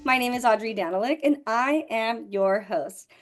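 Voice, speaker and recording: Female podcast host, early thirties, higher-pitched voice, upbeat and slangy, casual interviewing. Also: moderate pace, faint music behind. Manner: slangy, enthusiastic.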